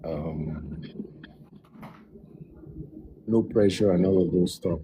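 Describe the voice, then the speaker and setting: A man's voice: low-pitched, slow, background noise. A man, a music producer, telling his story in a casual interview with a lot of restarts. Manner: relaxed, loose storytelling with many restarts.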